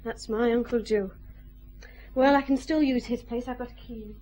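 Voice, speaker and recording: A vulnerable, strained young woman, acted by a woman in a radio drama. Her voice sits fairly high, with a tense, naturalistic delivery. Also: fairly even intonation, moderate pace, warm tone, natural voice, low-quality recording. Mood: cool, subdued.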